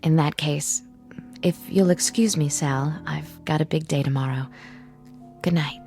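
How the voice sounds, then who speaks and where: Soft, mellow dutiful daughter. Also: female voice, low voice, fairly even intonation, a little slow, faint music behind. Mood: apologetic, polite. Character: dutiful, independent grown daughter, patient and affectionate. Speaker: actor in a television drama. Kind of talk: scripted TV drama acting.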